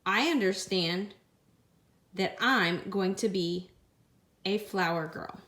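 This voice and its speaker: A woman's voice: mid-range voice, takes it slowly, a bit bright. A woman voicing an eager, determined little girl mouse from a storybook, emphatic and self-important, drawing out her words. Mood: dreamy, determined.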